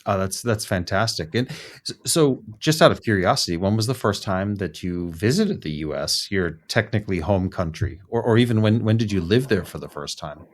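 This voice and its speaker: A man's voice: lowish voice, animated, normal speed. A man hosting an interview show, asking friendly questions and often repeating words as he frames them. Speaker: podcast host.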